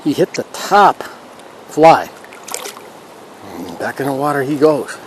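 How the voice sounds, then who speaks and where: A man's voice: medium pitch, sing-song, slow pace, poor audio. Folksy man chatting outdoors over river noise. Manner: chatty, folksy, talking while fishing. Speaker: fishing vlog host. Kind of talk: casual outdoor fishing commentary.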